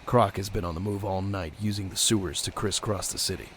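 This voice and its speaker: Guy doing a grim brooding masked vigilante, really low gravelly voice, terse, noisy recording, audio drama. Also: steady tone, fairly quick, close to an everyday voice. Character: brooding, stern masked vigilante detective hero. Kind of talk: audio drama narration and dialogue.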